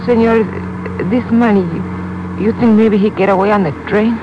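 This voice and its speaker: An actress playing an injured, frightened young woman with a Mexican accent in a 1950s radio drama, speaking in a halting, pained, weary way. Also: mid-range voice, fairly even intonation, close to an everyday voice. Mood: shaken, halting. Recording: muffled old radio recording, loud engine noise.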